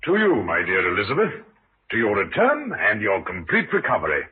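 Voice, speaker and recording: An actor playing a dapper, evasive colonel in an old radio drama, suave and insistent. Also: male voice, lowish voice, huge pitch swings, fairly quick, slightly rough, exaggerated voice. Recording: tinny, hissy vintage radio recording.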